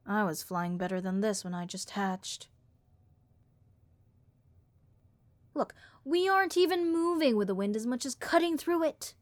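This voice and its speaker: A woman voicing a bored, snarky harpy copilot in a comedic audio roleplay, with a raspy vocal fry and overdramatic, deadpan complaints. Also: medium pitch, dry recording, natural voice. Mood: grumbling, wry. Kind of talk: comedic audio roleplay monologue addressed to listener.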